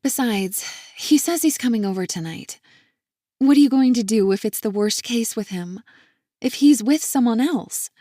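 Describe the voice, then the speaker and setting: A woman voicing a worried, caring married sister who speaks in a soft, pleading, reassuring way, in an audiobook. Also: on the high side, lively intonation, moderate pace, dry recording, clean studio sound. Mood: concerned. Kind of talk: audiobook character voice.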